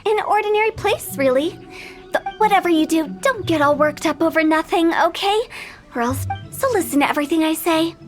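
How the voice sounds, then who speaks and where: Playful teenage funeral director: high voice, theatrical, teasing, video game. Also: female voice, brisk, faint music behind. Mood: playful, bossy. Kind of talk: video game character acting.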